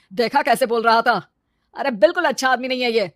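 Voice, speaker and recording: A woman voicing an arrogant, fickle husband, boastful and wheedling, in an animated story. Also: a little high, moderate pace, no room sound, clean studio sound, close to an everyday voice. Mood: scornful. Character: arrogant, fickle, money-proud husband, easily smitten.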